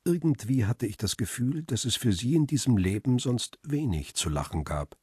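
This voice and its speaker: A man's voice: medium pitch, animated, normal speed, a little mellow, slightly rough, dry recording, clean studio sound. An actor playing a world-weary middle-aged paparazzo in a crime radio play, reflective and understated as he tells his story. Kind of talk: radio drama, first-person narration and dialogue.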